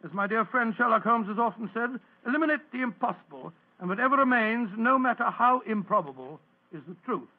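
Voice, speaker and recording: An actor playing an earnest, fussy English doctor in an old radio drama, speaking formally and hesitantly with an English accent. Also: male voice, very high-pitched, expressive, brisk, exaggerated voice. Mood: solemn. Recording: muffled narrowband old radio recording.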